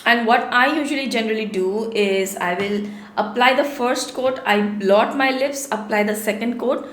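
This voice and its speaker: A woman's voice: on the high side, moderate pace, a bit bright, roomy sound. Chatty woman with a sore throat. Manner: chatty, repeats words for emphasis. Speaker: beauty vlogger. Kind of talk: casual product review to camera. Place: small home studio room.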